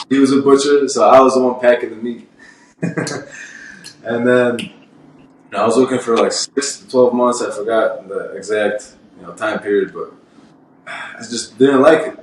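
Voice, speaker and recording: A man's voice: medium pitch, steady tone, moderate pace, slight background noise. A man in his twenties, a podcast guest, telling his work history bluntly with lots of filler and swearing. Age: 20s. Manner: rambling, blunt, swears freely. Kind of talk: casual podcast chat. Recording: very echoey room, mic far off.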